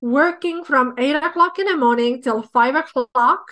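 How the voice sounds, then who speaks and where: A woman in her thirties from Estonia with an Estonian accent, a business coach telling her story as a podcast guest in relaxed, chatty interview talk. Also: high voice, moderate pace. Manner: storytelling, relaxed with light laughs.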